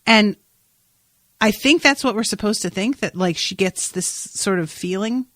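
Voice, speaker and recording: A woman's voice: mid-range voice, a little slow, fairly bright tone, dry recording. A woman podcast host talking through a TV episode in an informal, rambling way with exclamatory asides. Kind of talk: casual TV recap chat.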